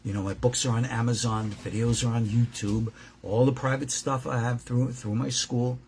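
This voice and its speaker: Male rabbi, informal teacher-preacher. Gravelly fry, emphatic and direct, slips into imagined dialogue. Slightly noisy recording. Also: medium pitch, little pitch movement, normal speed, darkish tone. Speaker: rabbi and teacher at a Torah school. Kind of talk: informal religious lesson, preaching to students.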